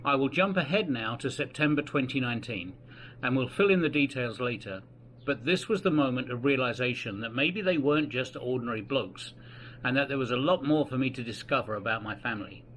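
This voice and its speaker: A man in his sixties from England with an English accent, narrating his family history from his home in a gentle, unhurried way. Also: mid-range voice, animated, a little hiss or hum, poor audio. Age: 60s. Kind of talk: reflective personal narration. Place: home in the Philippines.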